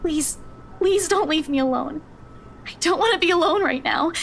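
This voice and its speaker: A woman's voice: normal speed, gravelly. A woman playing a terrified young woman in a video game, pleading and repeating herself as she breaks down. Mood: panicked pleading. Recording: rumbly background noise.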